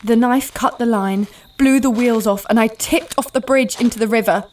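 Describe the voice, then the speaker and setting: A woman's voice: on the high side, steady tone, moderate pace, a little mellow, a little hiss or hum, natural voice. Brash, reckless female bounty hunter, played by an actress. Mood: thrilled. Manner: brash, stubborn. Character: tough, reckless, thrill-seeking woman bounty hunter.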